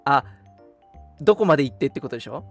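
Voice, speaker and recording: A radio host chatting casually and telling stories in an animated, emphatic, colloquial way. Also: mid-range voice, fast. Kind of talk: casual chat, storytelling. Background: background music.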